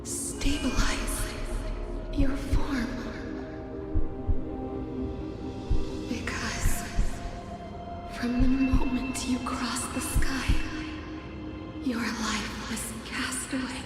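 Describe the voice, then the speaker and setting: A woman playing an ancient, weary titan of time in a video game, speaking in solemn, breathy, halting fragments. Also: sits high, little pitch movement. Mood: solemn, cryptic. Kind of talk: dramatic game voice acting. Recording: heavily echoing voice with music behind. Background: music.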